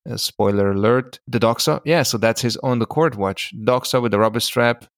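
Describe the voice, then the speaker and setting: A man's voice: mid-range voice, moderate pace, no echo, studio quality. A man speaking as a podcast co-host, telling stories in a casual, conversational way.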